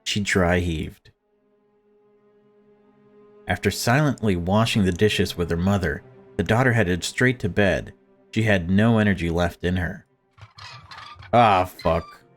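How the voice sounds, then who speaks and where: A man's voice: deep voice, slow pace, pauses often, faint music behind. A man reading a visual novel aloud as a let's-player, with informal, sweary asides. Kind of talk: narration and casual reactions to a visual novel.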